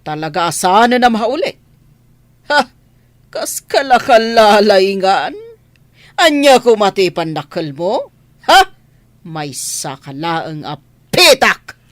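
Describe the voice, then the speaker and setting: An actress playing a haughty, cruel rich mistress who scolds and threatens in a radio drama. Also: female voice, medium pitch, sing-song, slow, pauses often, no echo. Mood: stern, threatening. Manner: domineering, threatening. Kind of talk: Ilocano radio drama acting.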